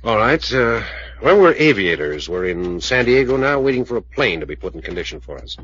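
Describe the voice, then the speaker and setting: Tough take-charge leader, low voice, swinging inflection, clipped orders, old radio serial. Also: male voice, sing-song, fairly quick, fairly bright tone, breathy edge, heavily acted. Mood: measured. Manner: clipped, bossy, takes charge. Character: tough, commanding male adventurer and leader. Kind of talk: old-time radio drama acting. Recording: hissy, dull old radio recording.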